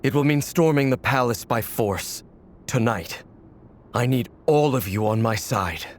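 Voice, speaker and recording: An actor playing a resolute, noble royal guard captain in a video game, firm and formal. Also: male voice, medium pitch, a little slow, slight background noise, lightly acted. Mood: determined. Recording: game music faintly behind.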